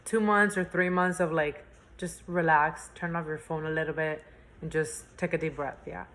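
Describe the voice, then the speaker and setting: A woman's voice: sits low, steady tone, moderate pace, a bit bright. A young woman from Spain, a university student, chatting as an interview guest with lots of fillers and restarts. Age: young adult. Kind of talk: casual interview chat.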